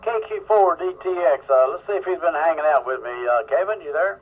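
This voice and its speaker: A man's voice: on the high side, lively intonation, brisk. A man hosts an amateur radio net in a casual, teasing, chatty way. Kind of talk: casual ham radio chat. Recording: narrow tinny ham radio transmission.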